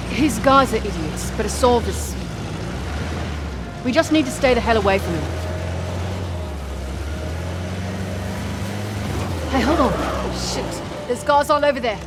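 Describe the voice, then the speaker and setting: A woman's voice: on the high side, lively intonation, moderate pace, over loud music, natural voice. An actress as a guarded, blunt woman mercenary. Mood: serious. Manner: terse, blunt. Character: guarded, no-nonsense woman mercenary, tough and pragmatic. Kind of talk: naturalistic video game voice acting.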